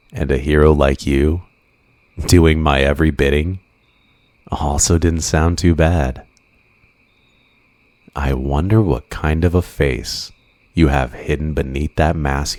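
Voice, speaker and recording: A man playing an obsessive, flirtatious supervillain in an ASMR roleplay, drawing out teasing, softly menacing endearments in a possessive way. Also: very deep voice, dry recording. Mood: gloating fascination.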